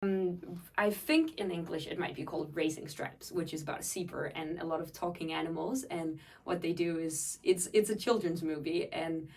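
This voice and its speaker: Low-pitched young woman from Denmark, distant. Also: normal speed, hardly any pauses, darkish tone. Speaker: interviewee. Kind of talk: casual interview answers. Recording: voice picked up from a distance.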